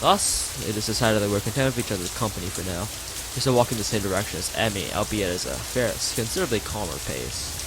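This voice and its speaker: A man's voice: lowish voice, lively intonation, quick pace, noisy background. A man streaming a visual novel, reading it aloud and chatting casually, often stumbling and rereading lines. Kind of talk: live game reading with casual chat.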